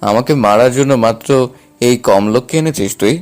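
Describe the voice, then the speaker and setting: A man's voice: medium pitch, a little fast, a little mellow, faint music behind, natural voice. A man playing a confident, defiant young romantic hero in an audio drama. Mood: defiant, taunting. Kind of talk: dramatised audio story dialogue.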